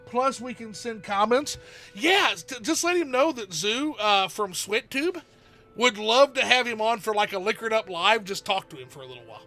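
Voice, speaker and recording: A man's voice: high-pitched, lively intonation, normal speed, pushed and bright, faint music behind. A man hosting a casual game livestream, rambling as he reads out viewer chat and answers it. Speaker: streamer host.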